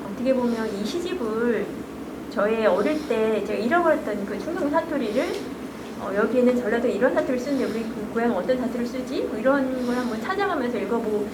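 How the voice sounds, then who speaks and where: Middle-aged woman speaking haltingly in a hall. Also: fairly high pitch. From Chungcheong, Korea. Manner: hesitant, halting, frequent restarts. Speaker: guest speaker. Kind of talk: congratulatory remarks at a book launch. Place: library event hall. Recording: echoey hall, loud background noise.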